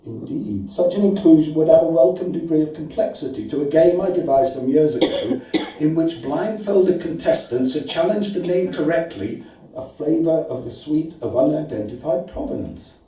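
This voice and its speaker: A man's voice: a little high, lively intonation, normal speed, mellow, natural voice. A man voicing a pedantic, pompous, aggrieved customer reading out a formal, wordy letter of complaint as a live comic story. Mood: pompous, earnest. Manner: formal, wordy, precise, mock-serious. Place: indoor arts venue reading, before an audience. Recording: roomy, distant live event recording.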